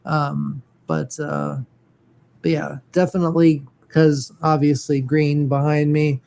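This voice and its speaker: Man, casual chat, slow and halting, lots of fillers, slightly high pitch. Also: level tone, gravelly, darkish tone. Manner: halting, many fillers and restarts.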